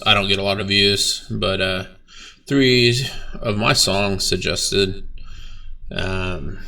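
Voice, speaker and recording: A young man, a bassist, talking casually about his band's video in a laid-back, rambling way with lots of fillers and some swearing. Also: vocal fry, pushed and bright, distant mic. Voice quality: low voice. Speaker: bass player reacting to his band's video. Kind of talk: casual reaction vlog.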